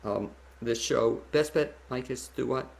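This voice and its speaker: A man hosting a radio interview show, speaking conversationally and a little haltingly between questions and announcements. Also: mid-range voice, gravelly, darkish tone.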